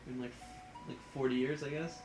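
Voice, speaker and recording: A man's voice: medium pitch, steady tone, normal speed. A man in his twenties co-hosting a podcast, chatting casually with plenty of slang and swearing. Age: 20s. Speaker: podcast co-host. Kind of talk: casual chat about films and pop culture. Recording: echoey room, music behind. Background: music.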